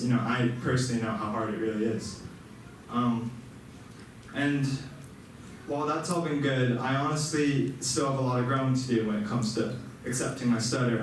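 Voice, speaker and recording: A man's voice: mid-range voice, slight background noise. A young man who stutters, giving an earnest personal speech to an audience, with repeated sounds and restarts. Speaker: student speaker. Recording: echoey hall, mic far off.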